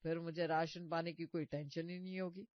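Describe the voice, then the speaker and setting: A man's voice: a little high, level tone, fairly quick, dry recording, dull audio, lightly acted. A man voicing a stingy, grumbling husband in a comic story, bossy and full of complaints. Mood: calculating. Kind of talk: comic cartoon story voice acting.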